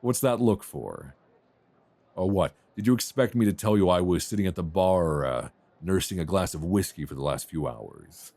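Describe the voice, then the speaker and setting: A man's voice: deep voice, animated, moderate pace, warm tone, dry recording. A man playing a chatty, flirtatious, self-deprecating traveller in an intimate ASMR romance roleplay. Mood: flirty, sheepish. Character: friendly, flirtatious rough-and-tumble male traveller, a writer. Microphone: close binaural microphone.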